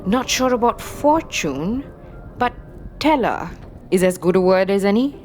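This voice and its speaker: A woman playing an eccentric, ageless fortune teller witch who speaks in a cryptic, wry, halting way in a fantasy audio drama. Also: medium pitch, animated, darkish tone, slight background noise, close to an everyday voice. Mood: cryptic, musing. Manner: speaks of herself in third person, halting and cryptic.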